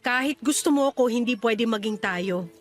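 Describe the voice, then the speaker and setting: A woman's voice: fairly high pitch, normal speed, close to an everyday voice. An actress playing a curt, defensive young woman firmly rejecting a suitor in a radio drama, with an irritated manner. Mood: cold, firm. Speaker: voice actor in a radio-style love story. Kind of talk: Filipino radio drama acting.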